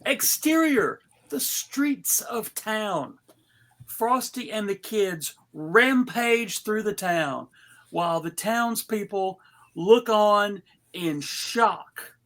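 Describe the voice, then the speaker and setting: A woman's voice: medium pitch, lively intonation, drawn-out words, fairly bright tone. A woman narrating stage directions in a live script reading.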